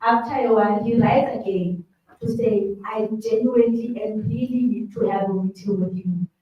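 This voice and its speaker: Soft-voiced woman, far from the mic. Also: fairly high pitch, lo-fi sound. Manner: measured, hesitant with frequent ums. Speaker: panelist. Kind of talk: panel discussion, summarizing a book. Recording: echoey room, distant mic, faint hum.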